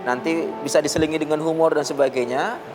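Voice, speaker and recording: A man speaking as a psychology guest expert on a talk show, explaining in a measured way with pauses and hesitations. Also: on the high side, fairly even intonation, over music.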